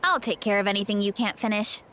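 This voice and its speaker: A woman playing a gentle, easygoing young woman in a video game, encouraging and a little hesitant. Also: medium pitch, fast, noisy background, close to an everyday voice. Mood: friendly.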